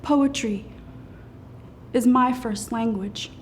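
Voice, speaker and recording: A woman poet gives a dramatic spoken-word recital in a high-pitched voice. She moves very slowly and deliberately through each phrase, leaving weighted pauses, and keeps a solemn manner. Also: a little hiss or hum.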